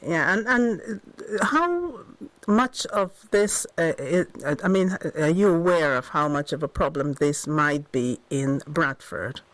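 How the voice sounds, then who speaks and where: A woman radio interviewer with a halting, conversational way of asking, repeating and rephrasing her words. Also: low-pitched, expressive, dry recording, poor audio.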